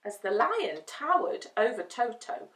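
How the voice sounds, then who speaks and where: Slow, very animated woman storyteller. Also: fairly high pitch. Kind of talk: expressive children's storybook narration. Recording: roomy, distant microphone.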